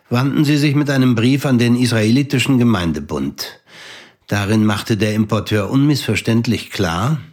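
An actor playing a composed literary narrator, delivering scripted narration in a measured, formal way for a radio drama. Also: male voice, medium pitch, slightly rough.

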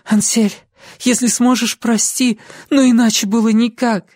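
A woman's voice: a little high, level tone, a little slow, a little mellow, no echo, clean studio sound, voice pushed up. A woman narrator voicing a desperate, weary young woman who pleads insistently with her beloved in an audiobook. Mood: pleading.

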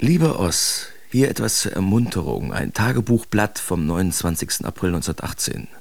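An actor playing a brash, mocking male Dadaist artist, reciting theatrically in an expressive radio drama. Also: sits low, fairly quick, creaky, exaggerated voice. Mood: boozy, wry.

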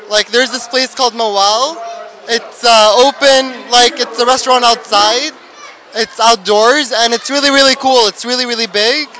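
A man's voice: very high voice, sharp tone, low-quality recording. A teenage boy at an outdoor festival, answering interview questions in a hesitant way, full of "like" fillers. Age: teens. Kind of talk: casual street interview.

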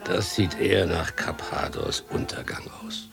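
A man playing a laconic, gloomy coachman with a gravelly voice in a German radio play. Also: deep voice, unhurried, music in the background, exaggerated voice. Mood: dry, foreboding. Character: laconic, gloomy old-world coachman on an island.

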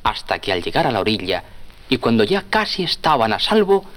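A man's voice: medium pitch, sing-song, brisk, fairly bright tone. A man narrating a scripted children's tale in a storytelling style.

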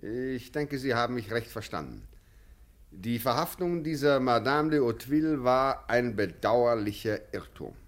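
A commanding police president in a classic radio play. His voice sits at a medium pitch. He speaks formally, with measured, condescending authority. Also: animated. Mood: stern, official.

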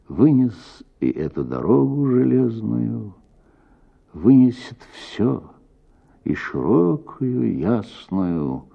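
A sensitive, indecisive gentleman lawyer in a radio story, played by a male reader. He speaks low and slowly, in a muted and hesitant manner, always tactful. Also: gravelly, breathy edge, highs cut off, exaggerated voice.

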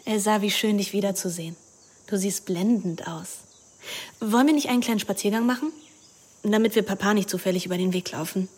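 A woman's voice: medium pitch, fairly quick, darkish tone, husky, close to an everyday voice. A young woman playing a scheming, anguished teenage girl who narrates her own story in a radio drama, intimate and introspective. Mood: urgent, persuasive.

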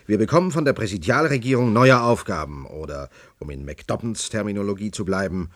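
Resigned middle-aged scientist, very low, husky, cynical radio drama dialogue. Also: male voice, animated, fairly quick, no echo. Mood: resigned, sardonic. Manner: resigned, cynical, flaring into irritation. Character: disillusioned, pragmatic male scientist in a bunker.

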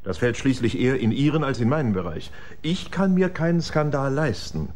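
An actor playing a haughty, irritable well-to-do gentleman with something to hide in a German radio crime drama. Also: mid-range voice, big pitch swings, brisk, a little hiss or hum, natural voice. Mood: tense, curt. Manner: imperious, agitated, emphatic.

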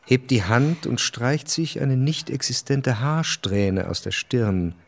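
A man reading scripted literary narration for a radio drama in a measured, composed way. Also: medium pitch. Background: music.

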